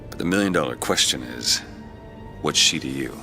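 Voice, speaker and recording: A hard-boiled American private eye in a noir game. His voice is extremely low and gravelly, world-weary and terse, with music playing behind him. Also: animated, normal speed. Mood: weary, bitter. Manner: terse, wary, noir toughness. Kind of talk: video game voice acting, noir dialogue.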